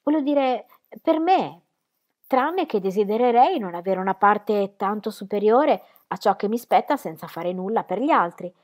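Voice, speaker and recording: A woman reading aloud voicing an earnest, devout young woman who talks softly and sincerely, then with warm fervor. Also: medium pitch, animated, normal speed, voice pushed up, natural voice. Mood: fervent.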